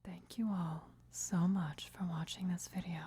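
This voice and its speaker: Hushed, slow-talking woman creator. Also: fairly low pitch, steady tone, slight background noise. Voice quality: very quiet, whispery. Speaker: video creator signing off. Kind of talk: soft ASMR outro to viewers.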